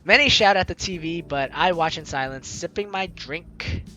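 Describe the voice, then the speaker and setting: A man's voice: fairly high pitch, moderate pace, pushed and bright, faint music behind. A man hosting a gaming video, playfully mixing jokey commentary with theatrical read-aloud narration.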